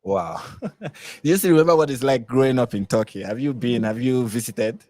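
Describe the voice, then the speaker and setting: A man's voice: mid-range voice, lively intonation, brisk, darkish tone, no echo. A man from Nigeria hosting a casual live stream, rambling with lots of fillers and restarts. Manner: rambling, many fillers and restarts, laughs often.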